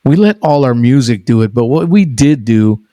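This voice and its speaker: A man's voice: medium pitch, animated, moderate pace, mellow, no echo. A man in his sixties hosting a podcast, chatting with a guest in a chummy, eager way. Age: 60s. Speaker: podcast host. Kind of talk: casual interview chat.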